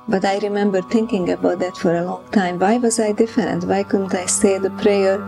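Interviewee, woman in her eighties, medium pitch, measured and reflective delivery. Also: steady tone, creaky, over music. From Czechoslovakia. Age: 80s. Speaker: interviewee, Holocaust survivor. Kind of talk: recounting memories in an interview.

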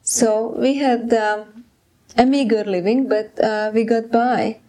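A woman in her eighties from Czechoslovakia recalling her childhood in an interview, speaking in a measured, reflective way. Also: a little high, steady tone, mellow. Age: 80s. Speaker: interviewee, Holocaust survivor. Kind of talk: recounting memories in an interview.

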